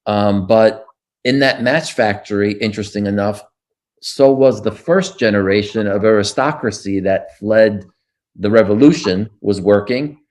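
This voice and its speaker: A man's voice: on the low side, unhurried, darkish tone. An older man, recounting his childhood in an oral history interview, with frequent fillers and restarts. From United States.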